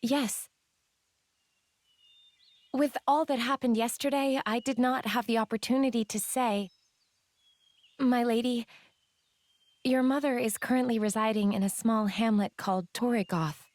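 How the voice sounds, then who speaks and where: A woman's voice: a little high, steady tone, darkish tone, no echo, lightly acted. An actress playing a loyal, polite female attendant in a fantasy game, formal and deferential. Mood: respectful. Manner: formal, deferential, measured wording.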